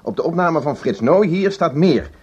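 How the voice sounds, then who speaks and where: Fussy flustered boss guy, husky voice, stammers over words, kinda muffled old radio play. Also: on the high side, expressive, normal speed, a little mellow. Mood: fussy, explaining. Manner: hesitant, fussy, repeats words. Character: flustered, officious male newsroom chief. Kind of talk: radio drama acting.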